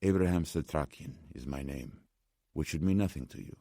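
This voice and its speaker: A man voicing an anxious, formal old gentleman with a Slavic accent, speaking deliberately as an audiobook character. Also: extremely low pitch, vocal fry, dry recording, clean studio sound, full character voice. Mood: earnest, urgent. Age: older. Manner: formal, deliberate, agitated but striving to speak calmly.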